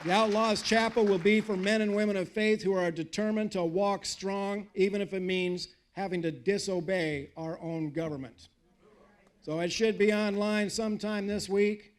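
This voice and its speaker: An older man giving a fiery patriotic speech at a live rally, emphatic and preacherly, with wry asides to the audience. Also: high voice. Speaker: guest speaker at a political rally. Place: live event in front of an audience.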